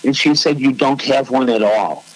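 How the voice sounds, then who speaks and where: A man's voice: medium pitch, moderate pace, low-quality recording. A man, co-hosting a casual chat, rambling and laughing often. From Chicago. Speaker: co-host.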